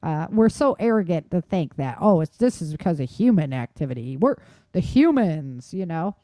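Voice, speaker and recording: A woman with a mellow, dark-toned voice talks as a show co-host. She comments on the news in a conversational but opinionated and emphatic way. The sound is dry, with very little room around her. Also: on the low side, big pitch swings, normal speed, dry recording. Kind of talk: informal news commentary and chat.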